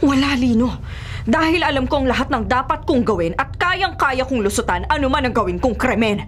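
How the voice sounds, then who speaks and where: A woman's voice: high voice, lively intonation, fairly quick, faint music behind. An actress playing a cunning, arrogant businesswoman in a Filipino radio drama, haughty and dismissive. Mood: defiant anger.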